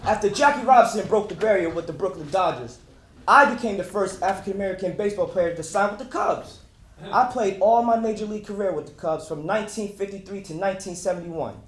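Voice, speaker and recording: Man as a proud high-voiced ballplayer, echoey. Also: fast, fairly bright tone. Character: proud retired star baseball player recounting his career. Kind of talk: dramatic reading, first-person monologue. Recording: very echoey room, faint noise.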